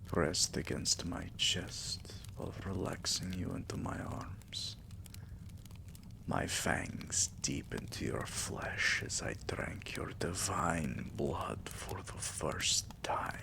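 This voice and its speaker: A man's voice: sits low, expressive, slow pace, vocal fry, heavily acted. A man voicing a tender, possessive vampire king in an intimate, soothing ASMR roleplay, murmuring and often slipping into whispers. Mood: intimate, whispering.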